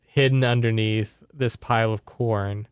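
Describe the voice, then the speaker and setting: A man's voice: lowish voice, steady tone, measured pace, clear, resonant tone, no echo, clean studio sound. A man hosting a podcast, retelling a book in casual, conversational chat and checking in with his listeners. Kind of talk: casual story recap chat.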